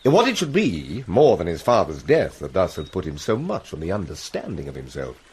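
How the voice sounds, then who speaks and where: A man's voice: low voice, wildly swooping pitch, moderate pace, darkish tone, breathy edge, exaggerated voice. An actor playing a commanding, courtly middle-aged king with stately delivery in a classical Shakespearean drama. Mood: gracious, concerned.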